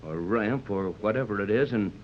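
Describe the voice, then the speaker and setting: An actor playing a steady, fatherly older yacht captain in a 1930s radio serial, measured and authoritative as he gives orders. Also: fairly low pitch, natural voice. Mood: calm, commanding. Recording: muffled, noisy 1930s radio recording.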